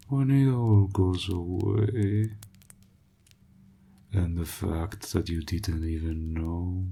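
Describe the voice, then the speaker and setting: A man voicing an evil, mocking warlock in an audio roleplay, speaking in a theatrical, taunting, breathy and gravelly voice that often drops toward a whisper. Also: sits low, heavily acted. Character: evil, mocking male warlock toying with his client.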